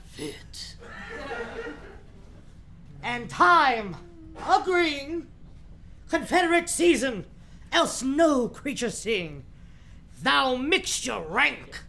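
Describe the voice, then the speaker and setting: A man's voice: extremely high pitch, creaky, pushed and bright, slight background noise, exaggerated voice. A man playing a sinister villain, a gloating poisoner, in a melodramatic radio play. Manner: clipped, menacing, declamatory.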